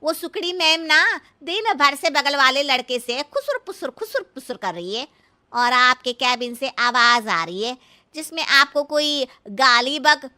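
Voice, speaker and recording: An elderly village mother-in-law for a cartoon story, very sharp and high. She is cheeky and stubborn, drawing out her folksy scolding with big swoops in pitch. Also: expressive, a little slow, heavily acted. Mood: gossipy. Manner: literal-minded, scolding, folksy. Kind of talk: exaggerated comic cartoon story acting.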